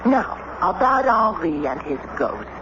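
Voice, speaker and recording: An actress playing a clever, persistent diplomat's wife, coaxing and teasing in an old radio drama. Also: female voice, lowish voice, big pitch swings, measured pace. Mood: reasoning, persistent. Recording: muffled, noisy old radio broadcast.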